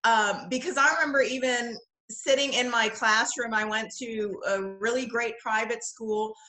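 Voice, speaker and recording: A woman's voice: a little high, fairly even intonation, a little slow, sharp tone. A woman from the Bahamas telling personal stories conversationally as a podcast guest. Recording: roomy, echoing sound.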